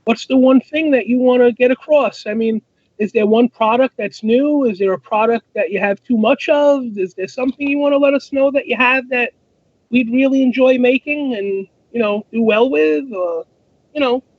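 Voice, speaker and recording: A man with a very high voice chats casually as a show co-host. He has a halting, musing delivery. The audio is dull and lo-fi. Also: no echo. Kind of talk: casual online show chat.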